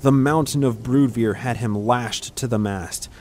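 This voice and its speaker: A man narrates a fantasy tale as a scripted audiobook. His voice is medium in pitch and his delivery is dramatic, with clear ambient noise behind it. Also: measured pace, a little mellow.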